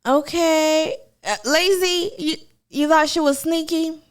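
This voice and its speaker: A woman's voice: sits high, steady tone, slow. A woman from Kenya with a Kenyan accent, chatting casually to viewers on a live stream about a TV show. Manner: chatty, addresses viewers as y'all.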